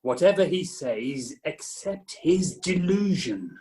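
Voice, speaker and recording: A man's voice: fairly high pitch, lively intonation, slow pace, warm tone. Solemn, self-important medical expert male actor, emphatic and pedantic, for stage-style radio comedy. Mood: officious. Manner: pompous, emphatic. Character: pompous mad-doctor, solemn and pedantic. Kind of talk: amateur comic radio play acting. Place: home, recorded over a video call.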